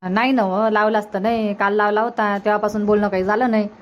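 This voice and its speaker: A woman's voice: medium pitch, level tone, fast talker, dull audio, slight background noise, close to an everyday voice. A woman voicing a practical village mother in a cartoon, gossipy and advising, repeating herself in a Varhadi Marathi accent. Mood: gossipy, concerned. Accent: Varhadi Marathi from Vidarbha. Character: practical, reassuring village mother of a married daughter. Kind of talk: colloquial cartoon dialogue.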